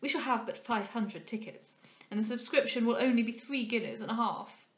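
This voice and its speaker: A woman's voice: fairly high pitch, a little fast, reverberant, close to an everyday voice. A woman voicing a self-satisfied, foppish male captain with a pompous, affected manner in an audiobook. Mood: smug, affected. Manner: pompous, affected, peppered with French words. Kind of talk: dramatised audiobook character voice.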